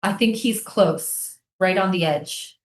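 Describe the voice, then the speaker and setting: A woman's voice: mid-range voice, slow pace, natural voice. Woman acting intense woman soldier, echoey stage. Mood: scheming. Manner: earnest, insistent, confrontational. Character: intense, pleading American woman soldier, military interrogator. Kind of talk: staged reading of a play. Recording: roomy, echoey live stage reading.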